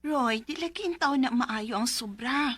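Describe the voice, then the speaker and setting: An actress with a Filipino Bisaya accent playing a caring but nagging young wife in a radio drama. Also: female voice, fairly high pitch, measured pace, a bit bright, husky, dry recording. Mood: nagging, concerned. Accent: Filipino, Cebuano Bisaya.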